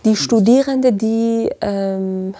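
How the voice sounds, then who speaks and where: A woman's voice: a little high, takes it slowly, dark tone. A woman from Iran, heads a language school, answering interview questions hesitantly with many fillers. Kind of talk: podcast interview.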